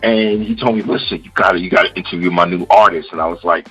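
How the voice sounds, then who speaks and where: A man's voice: low-pitched, steady tone, quick pace, pushed and bright, slightly rough, lo-fi sound, faint music behind. A man from Queens, New York hosting a radio interview, talking casually and often saying "you know.". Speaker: radio host.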